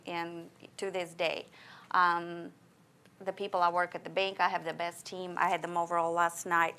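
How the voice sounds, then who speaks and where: A woman's voice: fairly low pitch, pushed and bright. A woman from Russia with a slight Russian-Texan accent, a guest answering interview questions in an earnest, thoughtful way with many fillers.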